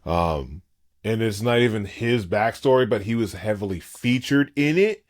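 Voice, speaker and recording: A man's voice: on the low side, animated, moderate pace. Enthusiastic man in his thirties. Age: 30s. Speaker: podcast co-host. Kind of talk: casual fan chat.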